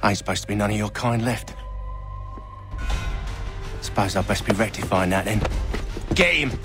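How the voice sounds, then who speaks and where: A man acting a cocky, rough criminal thug in a video game, taunting people in slangy street talk. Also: lowish voice, normal speed, natural voice. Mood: menacing. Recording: loud music under the voice.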